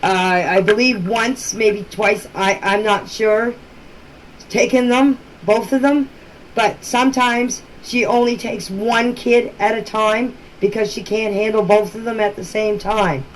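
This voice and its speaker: An amateur voice-message recording with faint noise carries a woman's mid-range voice. She explains things informally and deliberately. Her way of talking is plain-spoken and matter-of-fact. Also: mid-range voice, steady tone, poor audio.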